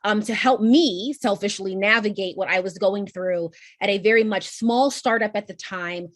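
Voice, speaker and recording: Woman panel moderator, chatty, enthusiastic, video call, dry sound, mid pitch. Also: moderate pace, no room sound. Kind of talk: casual panel hosting.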